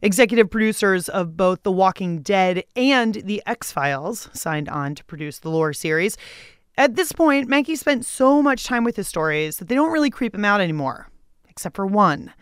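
A woman's voice: medium pitch, animated, moderate pace, clear, resonant tone, no room sound. Playful woman hosting a radio show, dry studio. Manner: playful, cheeky. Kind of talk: chatty scripted radio narration.

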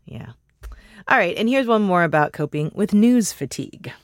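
A woman co-hosting a podcast in relaxed, chatty talk, reading the news and listener messages. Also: fairly low pitch, moderate pace, no room sound, clean studio sound. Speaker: podcast co-host. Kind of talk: casual news chat.

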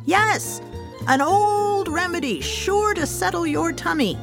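A woman voicing a cheerful, clever duck in a high, sing-song storybook voice. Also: lively intonation, slow pace, gravelly, music in the background, exaggerated voice.

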